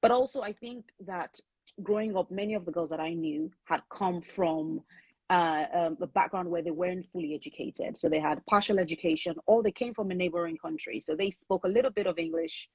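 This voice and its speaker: A woman whose first language is Yoruba talks through her writing in an earnest, thoughtful way, pausing to restart her sentences. Her voice sits a little low and has a husky, breathy grain. The sound is close and dry. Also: darkish tone, no room sound, rough recording. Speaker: author and guest. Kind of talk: conversational interview.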